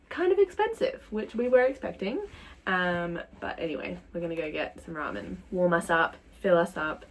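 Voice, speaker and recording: A woman's voice: lowish voice, vocal fry. A young woman talking casually to her camera as a travel vlogger, rambling with lots of fillers. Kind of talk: casual vlog talk to camera. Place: travel spots and a hotel pod.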